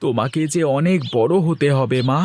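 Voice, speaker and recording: A man's voice: a little high, normal speed, a little mellow, a little hiss or hum, natural voice. A man voicing a poor, anxious village husband and father in a cartoon, speaking in an earnest, plaintive dramatic way. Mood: tender. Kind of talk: Bengali cartoon story dialogue.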